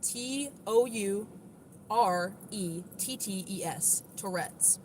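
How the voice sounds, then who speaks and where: A woman with a moderately deep voice talks casually on her livestream. She answers viewer comments in a defensive, chatty way, peppered with "like" and "literally". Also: takes it slowly, a little hiss or hum, low-quality recording.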